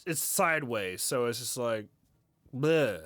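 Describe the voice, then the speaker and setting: A man co-hosting a podcast in easygoing, agreeable casual chat, quick to laugh. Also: medium pitch, expressive, a bit bright, no room sound, studio quality. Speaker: podcast co-host.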